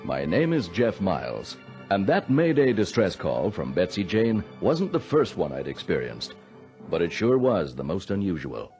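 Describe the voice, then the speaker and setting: A steady air traffic controller in a radio drama, recounting events gravely. The voice is fairly low with animated pitch, over faint music. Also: male voice, exaggerated voice. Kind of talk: dramatic first-person radio narration and dialogue.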